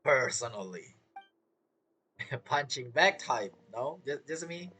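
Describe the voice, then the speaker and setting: Lively male game streamer. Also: medium pitch, slow. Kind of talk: casual game commentary. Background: game music.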